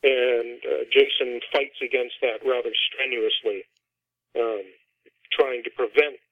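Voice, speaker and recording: A man's voice: mid-range voice, no echo. A middle-aged man, an author being interviewed, explains things at length in a conversational way over a band-limited, phone-like line. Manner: explanatory, talks at length about his fiction.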